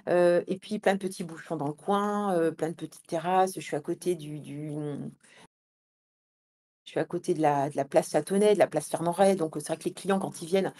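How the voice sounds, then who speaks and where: A woman being interviewed as a guest, speaking conversationally and haltingly, often repeating words as she builds her answers. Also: on the low side, warm tone. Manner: hesitant, halting delivery with many repeated words. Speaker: interview guest, founder and manager of an event venue.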